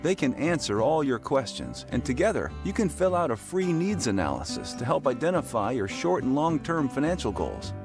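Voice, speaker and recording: A man reading a scripted radio commercial in a smooth, reassuring way. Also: mid-range voice, big pitch swings, moderate pace, hardly any pauses, music in the background. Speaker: ad announcer.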